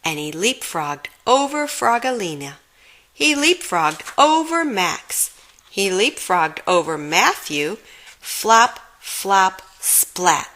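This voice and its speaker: A woman's voice: on the low side, sharp tone. A woman reading a children's storybook aloud expressively, giving dramatic emphasis to the sound words. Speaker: storybook reader.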